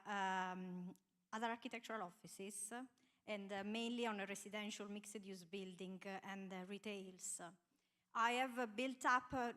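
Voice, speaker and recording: A woman in her thirties with an Italian accent introducing herself on a panel, pausing often with filler sounds. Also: mid-range voice, fairly bright tone. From Italy. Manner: hesitant, many filler sounds. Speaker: panelist, architect.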